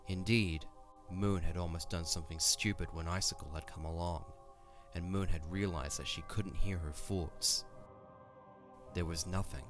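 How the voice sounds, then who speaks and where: Deep-voiced man narrating over music. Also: fairly even intonation, moderate pace. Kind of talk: scripted story narration for an audio drama.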